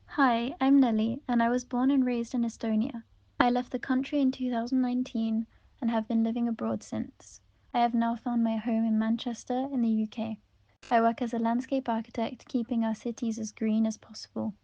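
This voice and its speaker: A woman reads first-person interview answers in a gentle, unhurried voice. Her tone is soft and mellow, and her pitch sits fairly high but barely moves. The recording sounds dull and lo-fi. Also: flat delivery, dry recording.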